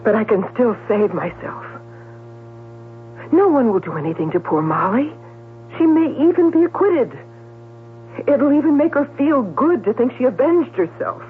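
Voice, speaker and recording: Lively, theatrical radio-drama sister. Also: female voice, a little high, normal speed, pauses often. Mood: defensive, desperate. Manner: expressive, theatrical. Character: outwardly caring, secretly guilty woman newspaper columnist. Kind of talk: 1970s radio drama acting. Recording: tinny, hissy 1970s radio recording.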